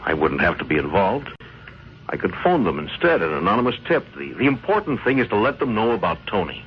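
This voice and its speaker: The calm, dependable pal in a vintage radio thriller. His deep voice is measured and placating, with something evasive about it. The old recording is muffled and lightly hissy. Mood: urging. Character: steady, dependable male friend, calm and evasive. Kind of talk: old-time radio crime drama acting.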